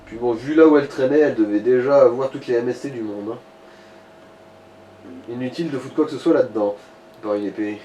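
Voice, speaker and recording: A man's voice: medium pitch, brisk, long pauses, warm tone, poor audio. A man in casual gaming chat, talking in a crude, slangy and sardonic way. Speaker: video game commentator. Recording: noticeable background noise. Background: background noise.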